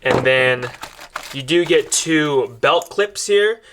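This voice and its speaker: A man's voice: fairly high pitch, lively intonation, slow pace, fairly bright tone, a little hiss or hum. A man presenting a product in a casual, step-by-step unboxing style, explaining things directly to viewers as he demonstrates.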